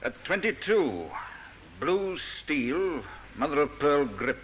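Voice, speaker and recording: An actor playing a dutiful, observant junior police detective, deferential and brisk, in an old radio drama. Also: male voice, a little high, sing-song, a bit bright, heavily acted. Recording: hissy, dull old radio recording.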